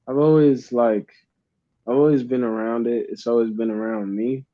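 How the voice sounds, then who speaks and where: A man's voice: medium pitch, steady tone, normal speed, no room sound. A young man from Uganda, a guest artist, talking in a laid-back, rambling way with many fillers. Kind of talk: casual interview chat. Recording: dull lo-fi dry sound.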